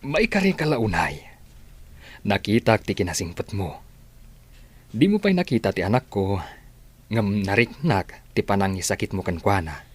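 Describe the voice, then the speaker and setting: An actor playing an earnest widowed father courting a woman in an Ilocano radio drama, with a husky, breathy voice. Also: on the low side, very animated, normal speed, long pauses, no echo. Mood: earnest, persuading.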